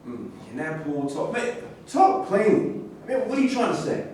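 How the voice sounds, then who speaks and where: A man's voice: high voice, big pitch swings, normal speed, darkish tone. A man in a staged play reading, playing a cool, slangy and self-justifying football star. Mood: dismissive. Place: echoey indoor room at a staged reading. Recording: distant, very echoey room with noise.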